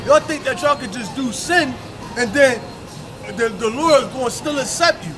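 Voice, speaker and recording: A man street preaching in a forceful, confrontational way, ending phrases with "man", outdoors on a city street. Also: very high-pitched, expressive, moderate pace, over music. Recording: street crowd noise.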